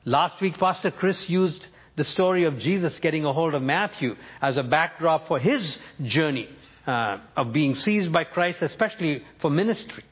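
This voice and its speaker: A man in his seventies, born and raised in North India, preaching a sermon by telling his own life story in a measured, storytelling way. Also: on the high side, animated, lo-fi sound. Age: 70s. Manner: personal storytelling, measured with emphatic lifts.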